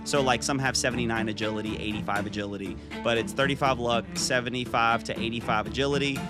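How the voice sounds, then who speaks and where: A man in his thirties talks casually and upbeat to his live chat while he plays, answering viewers one after another. His voice sits at an ordinary middle pitch. Game music plays clearly underneath him. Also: steady tone, fast talker. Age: 30s. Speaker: gaming streamer.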